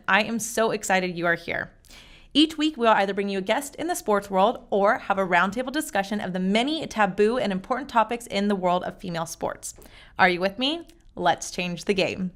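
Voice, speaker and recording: Young woman podcast host from norcal, super bubbly and excited, laughs a lot. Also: mid-range voice. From Northern California. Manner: bubbly, enthusiastic, laughs often. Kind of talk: upbeat podcast interview.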